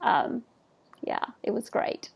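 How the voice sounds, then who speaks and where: A woman hosting a travel podcast, asking questions and chatting in a casual, conversational way. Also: slow. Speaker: podcast host. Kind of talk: casual interview.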